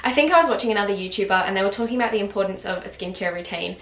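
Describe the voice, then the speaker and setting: A woman's voice: medium pitch, racing, fairly bright tone. Young woman in her twenties, casual chatty vlogger, lots of "like", echoey room. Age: 20s. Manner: chatty, lots of "like" fillers, laughs often. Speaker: beauty vlogger. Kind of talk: casual chat to camera. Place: home, just woken up. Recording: roomy echo.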